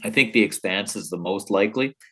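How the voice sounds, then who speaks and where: A man giving his view in a casual roundtable discussion as a guest, pausing mid-phrase as he reasons. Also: lowish voice, fairly bright tone.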